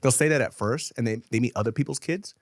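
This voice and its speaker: A man who grew up in the United States with Korean as his first language, telling stories in a casual podcast chat, animated and swearing freely. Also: medium pitch, quick pace, no echo, studio quality. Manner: animated storyteller, swears freely, laughs often. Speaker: podcast co-host.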